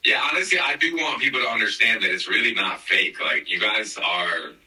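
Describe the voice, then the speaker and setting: Male podcast host, thirties, joking and teasing, somewhat low voice, roomy sound. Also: lively intonation, a little fast, sharp tone, distant mic. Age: 30s. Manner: joking, teasing, laughs often. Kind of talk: casual podcast chat.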